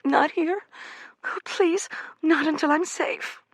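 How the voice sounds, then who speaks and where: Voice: high voice, steady tone, slow, fairly bright tone, dry recording, voice pushed up, poor audio. An audiobook reader voicing a frightened young American woman on the run, tearful and pleading.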